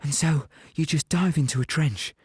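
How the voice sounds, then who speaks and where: Mellow young wounded soldier. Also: male voice, a little high, breathy edge, dry recording, clean studio sound. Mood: matter-of-fact. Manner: conversational, halting, present-tense storytelling. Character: young wounded British infantryman recounting Normandy, plain-spoken, rueful. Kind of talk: first-person dramatic monologue in audio play.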